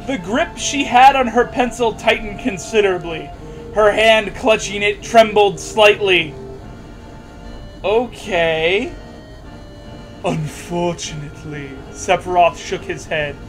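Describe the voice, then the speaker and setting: High-voiced sarcastic man reading aloud over music. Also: slow pace, rough recording. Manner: sarcastic, reads punctuation marks out loud. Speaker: host reading fan fiction aloud. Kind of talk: mocking read-aloud with casual banter.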